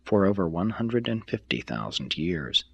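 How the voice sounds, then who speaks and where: A man reading a religious text as scripted narration, in a measured, solemn and formal manner. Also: sits low, very animated, creaky, a bit bright, slightly rough, dry recording, lo-fi sound. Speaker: reader.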